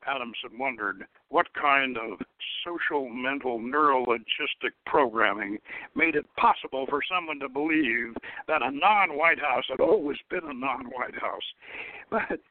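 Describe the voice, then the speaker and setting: A man's voice: mid-range voice, lively intonation, moderate pace, dry recording. A man giving a dramatic reading of satirical science fiction, stumbling over words now and then and correcting himself. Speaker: host reading his own novel. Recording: lo-fi phone-like radio line.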